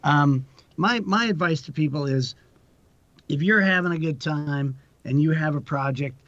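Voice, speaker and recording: Higher-voiced man around sixty, chatting. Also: unhurried, a bit bright, no echo. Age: 60s. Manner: rambling, self-deprecating, repeats words. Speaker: podcast guest, actor and producer. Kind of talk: casual conversational advice. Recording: dull lo-fi audio.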